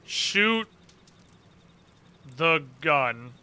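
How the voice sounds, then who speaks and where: A man's voice: on the high side, sing-song, very slow, bright, forceful tone, clean tone. A man giving casual live commentary as he plays a game, muttering to himself and swearing freely. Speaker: game streamer.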